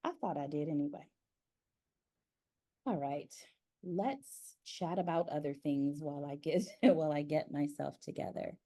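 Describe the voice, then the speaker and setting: A woman hosting a casual interview, chatting in an animated, expressive way. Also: deep voice, normal speed. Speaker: interview host. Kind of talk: casual chat.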